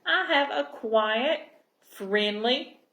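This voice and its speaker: Storybook goldfish boy, high, cheerful and eager, played by a female reader, echoing room. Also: drawn-out words, sharp tone, full character voice. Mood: excited. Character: cheerful eager little male goldfish longing for a pet.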